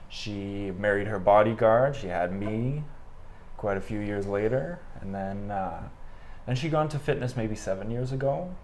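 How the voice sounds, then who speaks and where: Low-voiced young man chatting casually. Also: a little hiss or hum. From Canada. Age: 20s. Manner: relaxed, rambling, lots of fillers and restarts. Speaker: guest, amateur boxer. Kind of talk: casual interview conversation.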